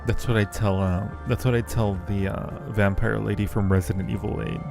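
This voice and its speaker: A man's voice: fairly low pitch, little pitch movement, a little fast, vocal fry. Casual male streamer, music behind him. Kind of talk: casual live game commentary.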